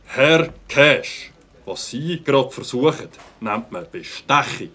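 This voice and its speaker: An upright village policeman from a children's audio drama, acted by a man. His medium-pitched voice is deliberate and official, that of someone who cannot be bought. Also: expressive, bright, forceful tone, heavily acted. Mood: stern. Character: dutiful, incorruptible village policeman.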